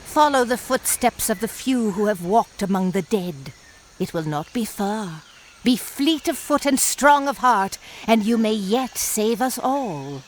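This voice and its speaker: Woman playing slow-spoken, imperious sorceress. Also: mid-range voice, lively intonation, slight background noise, close to an everyday voice. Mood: grave urgency. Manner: imperious, theatrical, measured. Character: haughty, ominous sorceress of an ancient wood. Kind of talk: video game cutscene acting.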